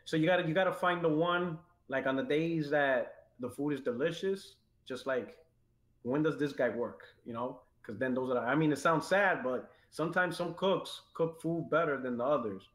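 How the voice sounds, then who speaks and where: A man co-hosting a casual podcast, telling an animated story and mimicking callers. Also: a little high, moderate pace, reverberant. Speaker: podcast co-host.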